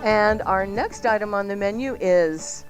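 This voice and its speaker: A woman hosting a radio cooking show with lively banter and promotional patter. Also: medium pitch, measured pace, faint music behind.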